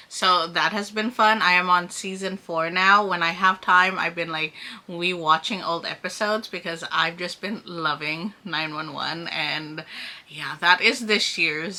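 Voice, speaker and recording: Woman in her thirties, very bright voice, chatty, rambling, casual vlog talk. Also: lowish voice. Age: 30s. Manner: chatty, rambling, laughs often. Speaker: vlogger. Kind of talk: casual sit-down vlog chat.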